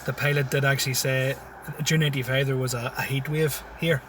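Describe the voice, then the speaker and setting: Man in his thirties, Tyrone accent, relaxed and chuckling, a bit off the phone mic, faint noise. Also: medium pitch, brisk, recorded from a distance. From Syria, raised in Tyrone. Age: 30s. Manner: laid-back, chuckles often. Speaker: comedian guest. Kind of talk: casual interview chat. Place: inside a parked car.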